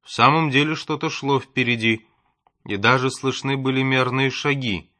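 Male audiobook narrator, expressive storybook reading, crisp forward brightness, varied dramatic stresses, very dry recording. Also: mid-range voice, normal speed, no echo.

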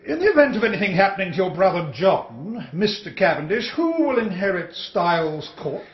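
A theatrical British barrister in a radio play. The voice is high for a man, with incisive, deliberate emphasis and a sardonic edge. The sound is roomy and a little dull. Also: very animated. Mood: pressing, stern. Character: theatrical, relentless defence barrister cross-examining witnesses. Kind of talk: radio courtroom drama.

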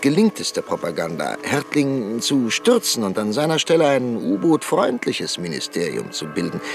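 An actor playing an old, cautious parliamentarian professor in a radio drama, formal and hesitant with frequent pauses. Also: male voice, medium pitch. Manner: formal, hesitant with ähs, pleading. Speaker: parliamentary deputy.

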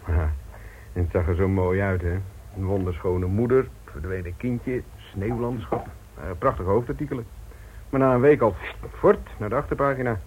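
An actor playing a flippant small-town newspaper editor in a radio play, chatty and mock-pompous with florid, ironic phrasing. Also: male voice, fairly low pitch, moderate pace, darkish tone, a little hiss or hum, lightly acted. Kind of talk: Dutch radio play acting. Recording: dull old radio recording.

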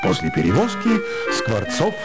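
A man's voice: medium pitch, huge pitch swings, moderate pace. A man narrating a literary story for radio in a theatrical, scripted style, with music behind him.

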